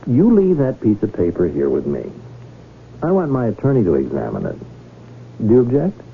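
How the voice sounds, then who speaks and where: An actor playing a gullible, wary rich bachelor in a radio drama, stammering and doubtful. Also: male voice, lowish voice, huge pitch swings, moderate pace, vocal fry, exaggerated voice. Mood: incredulous. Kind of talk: 1970s radio drama acting. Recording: muffled, faintly noisy old radio broadcast.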